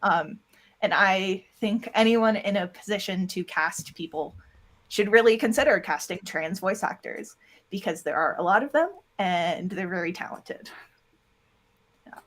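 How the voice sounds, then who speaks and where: A woman gives a casual interview as a producer and voice actor. Her voice sits at a middle pitch. She explains things in a loose, rambling way, dropping in quoted asides as she goes. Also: lively intonation, unhurried, a bit bright. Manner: rambling, with self-quoting asides. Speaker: interview guest, audio drama producer and voice actor.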